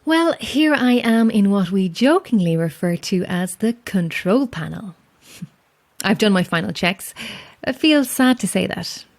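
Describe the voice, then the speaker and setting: An actress playing a chatty, self-deprecating lighthouse keeper in an audio drama, friendly and a little wistful, with hesitant asides. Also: female voice, medium pitch, lively intonation, measured pace. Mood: wistful, self-mocking. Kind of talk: naturalistic audio drama acting.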